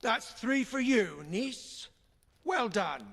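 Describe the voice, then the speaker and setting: An actor playing a royal uncle and sword instructor in an audio game, firm, formal and encouraging. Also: male voice, very high voice, big pitch swings, slow pace, gravelly, heavy background noise, exaggerated voice. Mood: instructive, encouraging.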